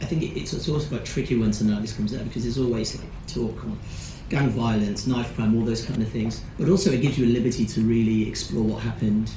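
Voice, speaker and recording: A man's voice: medium pitch, steady tone, fast talker, few pauses. A man moderating a panel Q&A, asking long rambling questions full of fillers. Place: large screening hall. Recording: echoey hall with audience noise.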